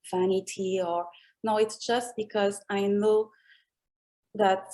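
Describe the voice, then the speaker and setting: A woman with a French accent answers interview questions in English, her second language. She speaks very slowly and reflectively. She pauses as she searches for the right words. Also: mid-range voice, dark tone. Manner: slow, thoughtful, searching for English words, laughs often. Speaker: music artist guest.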